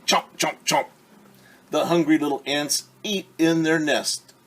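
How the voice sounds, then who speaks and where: A man's voice: on the high side, fairly even intonation, unhurried, fairly bright tone, a little hiss or hum. A man teacher reading a picture book aloud to young children, explaining words, asking them questions and adding playful sound effects. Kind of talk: children's story time read-aloud.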